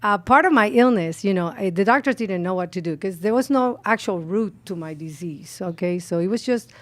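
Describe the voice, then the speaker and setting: A woman's voice: mid-range voice, expressive, fairly quick, no room sound. A middle-aged woman from the Dominican Republic with a Spanish accent, earnestly telling her personal testimony as a guest on a talk show. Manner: earnest, heartfelt storytelling with frequent "you know" and soft laughs.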